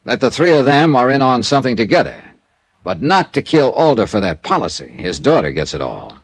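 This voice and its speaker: A hard-boiled insurance investigator from a classic radio serial. His mid-pitched voice swings widely in tone, with a sardonic, clipped edge. He sounds tough and wry. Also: very animated, a little fast, dry recording. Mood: brisk reasoning. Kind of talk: classic radio detective drama acting. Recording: vintage radio drama with music.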